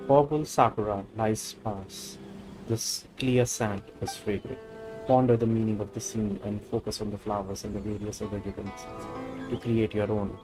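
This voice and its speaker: A man's voice: lowish voice, steady tone, moderate pace, close to an everyday voice, poor audio. A man voicing a polite, gracious woman florist from a video game, formal and explanatory. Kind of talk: reading video game dialogue aloud. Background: game music.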